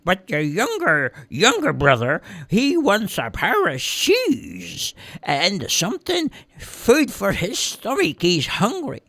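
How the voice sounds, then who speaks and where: A man's voice: sits high, wildly swooping pitch, slow, sharp tone, no echo, pitched above the performer's own voice, heavily acted. A man voicing an eager, helpful male rescue dog who says ruff between his words.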